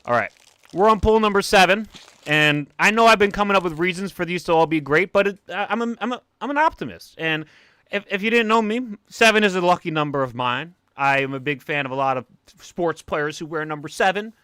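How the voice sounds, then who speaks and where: A young man with a thick New York accent who gets excited fast, talking in a bouncy, cartoon-like way. His voice sits a bit high and sounds bright and forceful. The sound is close and dry, with no room around it. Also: smooth, no echo. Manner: excitable, animated, talks like a cartoon character. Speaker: comic collector streamer. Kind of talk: casual livestream chat.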